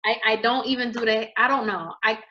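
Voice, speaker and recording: A woman's voice: fairly high pitch, steady tone, a little fast. A young American woman who is a guest on a video call, speaking emphatically and laughing often. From United States. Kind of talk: casual video-call interview. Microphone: video call webcam. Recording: slightly dull webcam audio.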